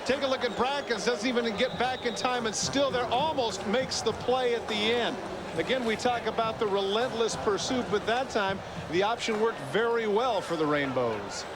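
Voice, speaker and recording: An American man giving live, conversational color commentary on football in an animated, colloquial, emphatic way. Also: very high-pitched, normal speed. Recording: loud stadium crowd noise, 1990s TV broadcast. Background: loud crowd and stadium noise.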